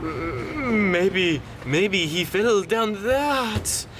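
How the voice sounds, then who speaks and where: A man's voice: high-pitched, expressive, very slow, very noisy, pitched above the performer's own voice, exaggerated voice. A man voicing a fretful, plaintive cat with a scornful streak for a storybook. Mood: wailing. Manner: wailing, scoffing.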